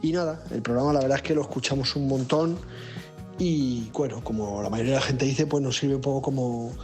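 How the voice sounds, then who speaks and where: A man's voice: medium pitch, brisk, a little mellow. A man with an accent from Spain leaving an informal, rambling voice message as a listener. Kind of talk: casual voice message. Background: music.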